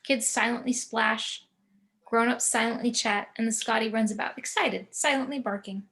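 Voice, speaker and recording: Measured woman narrating a screenplay. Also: fairly high pitch, fairly bright tone. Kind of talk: reading screenplay action descriptions.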